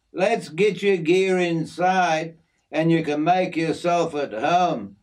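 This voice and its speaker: A man putting on a friendly middle-aged Aussie working bloke, raised a bit above the reader's own pitch, with a broad, slangy, matey drawl. He talks at an unhurried, slow pace, like a storybook father showing off his backyard. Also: darkish tone. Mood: joking. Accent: Australian. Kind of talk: storybook character voice.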